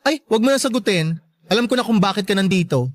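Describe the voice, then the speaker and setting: A woman playing a sharp-tongued, joking best friend in a Filipino radio drama, campy and teasing. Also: medium pitch, lively intonation, fast talker, dry recording, lightly acted. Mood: sarcastic, catty.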